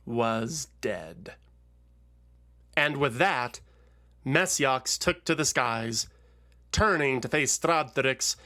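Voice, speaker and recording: A man narrating a scripted fantasy story in a solemn, measured, dramatic manner with deliberate pauses. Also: medium pitch, a bit bright. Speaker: narrator and show host.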